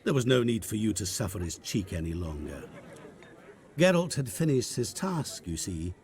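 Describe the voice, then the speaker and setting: A man's voice: lowish voice, sing-song, moderate pace, a little mellow. An actor playing a sly, mocking male trader of wishes in a game cutscene, speaking in a silky, teasing, theatrical way. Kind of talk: video game cutscene acting. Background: music.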